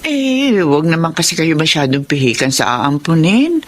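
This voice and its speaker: A woman's voice: low voice, sing-song, moderate pace, a little hiss or hum. An actress playing a chatty, encouraging female friend in a radio drama.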